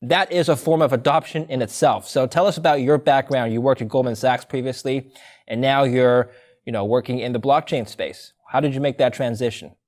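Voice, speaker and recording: Brisk male news anchor. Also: mid-range voice, steady tone, a little mellow. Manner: brisk, scripted-sounding introductions, conversational questions. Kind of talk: news interview.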